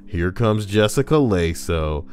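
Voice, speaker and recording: A man's voice: low-pitched, lively intonation, a little slow. A man casually reading forum posts aloud on a livestream, breaking in with crude, joking asides.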